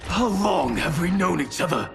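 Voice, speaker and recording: An actor playing a stern, sardonic, wronged male warrior in a video game, with a gravelly voice. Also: sits high, expressive, normal speed, faint music behind. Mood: indignant. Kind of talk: video game cutscene acting.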